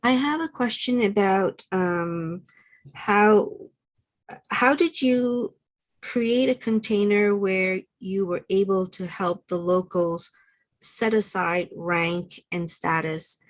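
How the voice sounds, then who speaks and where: A woman from Malaysia, a workshop participant, asking a thoughtful question and pausing with "um" as she searches for words. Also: mid-range voice, low-quality recording.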